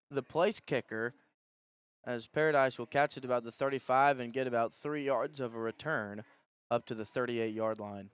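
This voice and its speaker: A male radio announcer delivers live high school football play-by-play. His voice is clear and smooth at a medium pitch, with a close, dry sound. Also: no echo.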